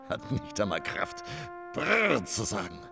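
Radio play: self-absorbed stage tragedian, low gravelly voice, declamatory, rambling, melancholy. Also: male voice, wildly swooping pitch, slow pace, music in the background, exaggerated voice. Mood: musing, theatrical. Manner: declamatory, rambling, dramatic swings from whisper to outburst. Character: theatrical, self-absorbed, melancholy male stage actor living for theatre. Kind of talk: German radio drama monologue.

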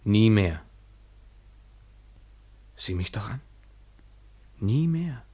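Grave devoted lover, very low voice, slow, solemn poetic radio drama delivery. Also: male voice, expressive, warm tone, breathy edge, exaggerated voice. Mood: pleading, gentle. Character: grave, devoted man passionately in love.

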